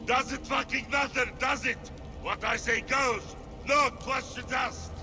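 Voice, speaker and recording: High-pitched, furious bullying cult boss. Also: male voice, steady tone, a little slow, gravelly, over music, heavily acted. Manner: shouting, swearing, menacing. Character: brutal foul-mouthed bullying male cult boss. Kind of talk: video game cutscene acting.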